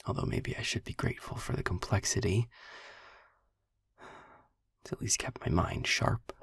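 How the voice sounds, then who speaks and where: Husky, sardonic demon, acted by a man. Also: no room sound, heavily acted. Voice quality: husky, breathy, gravelly low voice. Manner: dry, sardonic, articulate, deliberate. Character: sarcastic, weary male demon, long imprisoned, dryly eloquent. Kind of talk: audio roleplay, intimate slow monologue to the listener.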